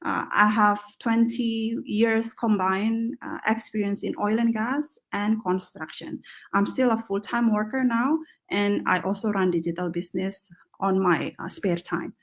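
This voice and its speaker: A woman hosting an online panel on a video call, with a halting, filler-filled delivery. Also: mid-range voice, fairly even intonation. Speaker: host.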